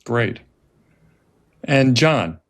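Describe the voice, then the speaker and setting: A man with a mid-range voice hosts a podcast. He reads a prepared introduction in a formal, measured way. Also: medium pitch, very animated. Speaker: host, writer-director.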